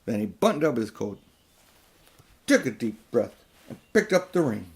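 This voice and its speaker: A man's voice: medium pitch, very animated, moderate pace. An older man narrating a children's audiobook, with informal asides to the listener. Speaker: audiobook reader.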